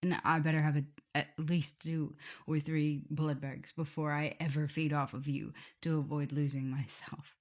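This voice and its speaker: A woman playing a tender, guilt-ridden vampire mistress in an ASMR roleplay, speaking softly and haltingly, often in whispers. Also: low voice, flat delivery, lightly acted. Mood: remorseful, hushed. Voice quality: soft, breathy, often whispering. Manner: halting, hesitant delivery. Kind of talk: ASMR roleplay acting, intimate address to listener.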